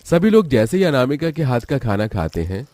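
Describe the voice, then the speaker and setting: A man's voice: medium pitch, sing-song, moderate pace, darkish tone, no room sound, studio quality. A man narrating a scripted story as its storyteller.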